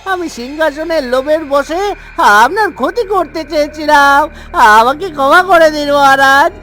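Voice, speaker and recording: A man playing a greedy, excitable, treacherous royal minister in a melodramatic cartoon style. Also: very high-pitched, unhurried, slight background noise, exaggerated voice. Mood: desperate pleading.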